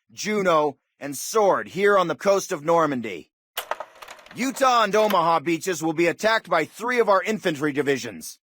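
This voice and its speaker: An actor playing a confident American army officer, giving an authoritative, orderly briefing to paratroopers in a video game. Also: male voice, high voice, a bit bright, a little hiss or hum. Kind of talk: military mission briefing, scripted game acting.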